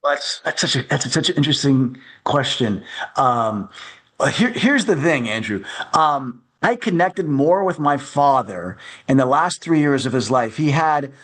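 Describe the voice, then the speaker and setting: An American man answering as an interview guest, in a conversational way, often halting as he thinks aloud. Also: mid-range voice, animated, fairly bright tone. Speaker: guest, music producer.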